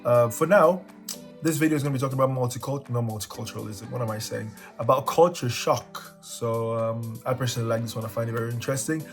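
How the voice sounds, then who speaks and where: A man's voice: mid-range voice, fast. A young man from Cameroon hosting his own channel in a casual, playful, joking way. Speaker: channel host. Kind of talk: casual vlog chat. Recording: echoey room with music behind.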